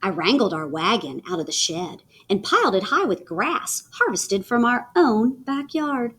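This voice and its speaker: A woman reading a children's picture book aloud in an animated, theatrical way with dramatic pauses. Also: medium pitch, slightly rough. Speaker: read-aloud storyteller.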